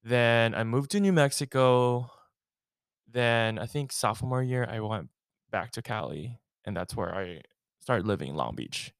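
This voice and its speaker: A man's voice: medium pitch, expressive, measured pace, gravelly, no echo, studio quality. A young man from the Philippines co-hosting a podcast, playful and teasing, laughing often in casual chat. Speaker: podcast co-host.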